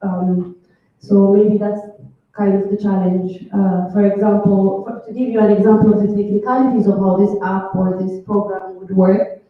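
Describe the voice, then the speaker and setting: A woman, speaking English as a while pitching a project at a live event, pausing often with ums and restarts. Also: level tone, slight background noise. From Ethiopia. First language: Amharic. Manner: hesitant, many ums and restarts. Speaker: hackathon participant pitching a project. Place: large room at a live event. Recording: echoey room from a distance, tinny phone-like sound.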